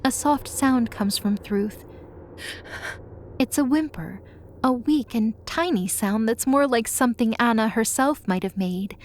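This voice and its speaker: A woman's voice: fairly high pitch, a little slow, a little mellow, slight background noise. A woman narrating scripted dramatic audio fiction, reading as a storyteller.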